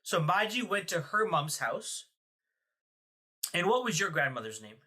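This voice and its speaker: Bright-voiced man hosting an interview. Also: high voice, big pitch swings, measured pace, slightly rough. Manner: encouraging, asks follow-up questions. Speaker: podcast host, interviewer. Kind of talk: casual family interview.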